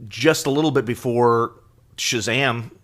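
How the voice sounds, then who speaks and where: A middle-aged man with a medium-pitched voice talks as a podcast co-host. He explains casually like a keen fan, pausing between items as he lists them. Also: fairly bright tone.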